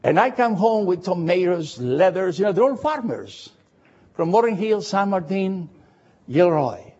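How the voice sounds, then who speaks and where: Older male pastor, foreign accent, husky and highly expressive, preaching with emphatic repetition; slightly dull, lo-fi recording. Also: unhurried. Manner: emphatic repetition of short phrases. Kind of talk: expository sermon with personal anecdotes.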